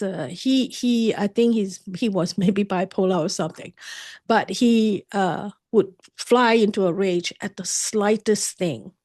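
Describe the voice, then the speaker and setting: Guest author, woman, 60s, from Singapore; earnest, halting storyteller. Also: medium pitch, darkish tone. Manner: earnest, halting with many restarts. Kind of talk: candid personal storytelling.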